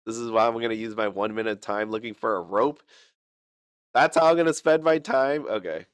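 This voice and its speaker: A young man with a lowish voice talks loosely over a game, full of fillers, restarts and self-deprecating asides. He's sick and keeps holding back a cough. The sound is dry and close. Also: a little fast, clean tone, dry recording. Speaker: gaming channel host. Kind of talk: casual gameplay commentary.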